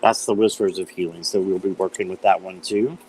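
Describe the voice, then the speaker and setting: Male tarot host, lowish voice, slightly hoarse, chatty and casual with fillers. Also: fairly even intonation, brisk, darkish tone, a little hiss or hum, low-quality recording. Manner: chatty, greets viewers by name, many fillers. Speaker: tarot reader hosting a live stream. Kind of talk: casual live-stream chat.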